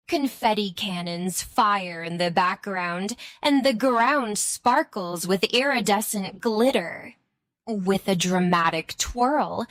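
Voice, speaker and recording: A woman's voice: mid-range voice, dry recording. A woman reading scripted narration for a children's bedtime story.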